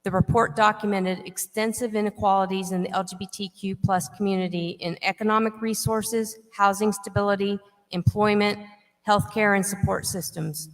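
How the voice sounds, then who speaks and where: A woman moderating a debate, reading long prepared questions in a measured, formal way. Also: mid-range voice, steady tone, in a large hall.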